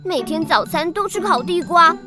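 Grumbly dramatic middle sister, really high swoopy voice, kids audio drama. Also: female voice, lively intonation, normal speed, music in the background. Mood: annoyed, petulant. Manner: petulant, exclamatory, dramatic. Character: grumbling, ambitious, dreamy middle sister, young girl. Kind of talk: children's radio drama acting.

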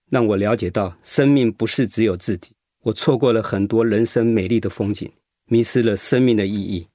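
A man playing an earnest, grateful recovering patient in a radio drama, speaking in a reflective, measured way. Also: on the low side, darkish tone, no echo, clean studio sound, natural voice.

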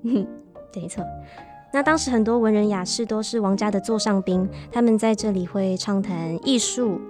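An actress playing an earnest, wistful young tour guide in a radio drama, conversational and hesitant, with a Taiwanese Mandarin accent. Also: female voice, medium pitch, normal speed, lightly acted. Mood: cheerful, informative. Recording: music behind the voice.

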